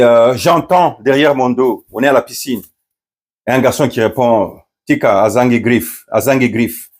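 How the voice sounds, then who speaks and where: A middle-aged man from Congo speaks slowly and deliberately, at an ordinary middle pitch. He pauses often on fillers and restarts his phrases as he recounts a story and draws a point from it. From Democratic Republic of the Congo. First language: Swahili. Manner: hesitant, many fillers and restarts. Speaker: pastor. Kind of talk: storytelling commentary.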